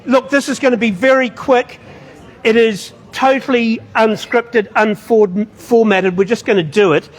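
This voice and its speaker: A man moderating a debate, wry and bossy as he teases the room. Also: extremely high pitch. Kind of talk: joking, brusque moderating.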